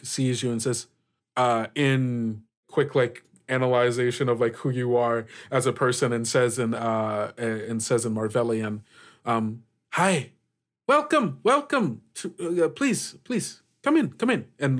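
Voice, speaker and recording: A man narrating an improvised tabletop game as game master, feeling his way through descriptions with frequent "like". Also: mid-range voice. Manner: halting, searching descriptions with frequent "like".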